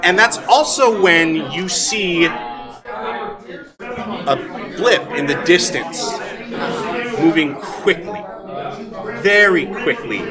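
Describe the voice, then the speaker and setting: Male game master, high register, halting amused improviser, live convention hall with audible crowd. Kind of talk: narrating a live tabletop roleplaying game. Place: live event at a gaming convention. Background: audience crowd.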